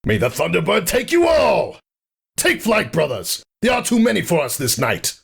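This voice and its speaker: A man's voice: high voice, wildly swooping pitch, moderate pace, gravelly, slightly rough, shouting, voice pushed up, heavily acted. A man voicing a merciless, proud pegasus warrior in a dramatic fantasy story, growling and declaiming with menace. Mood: furious shouting.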